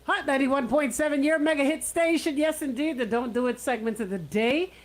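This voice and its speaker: A woman with a Bahamian accent and a fairly high voice hosts a radio talk segment. She comments casually but emphatically, repeating her points for weight and often tagging them with a checking "right?". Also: a little fast. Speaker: radio host.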